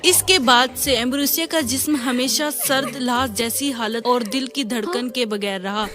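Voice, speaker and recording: A woman's voice: a little high, normal speed, a bit bright, soft background music. A woman reading scripted fairy-tale narration as the storyteller.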